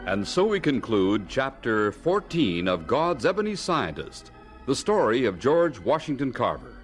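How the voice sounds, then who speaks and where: A man's voice: mid-range voice, big pitch swings, unhurried. A man giving scripted radio narration as the announcer of a drama series. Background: organ music.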